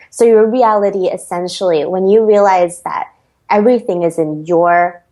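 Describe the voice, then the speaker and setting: A woman's voice: lowish voice, a little mellow. A woman with an American accent, a performance coach, giving reflective interview answers with frequent ums.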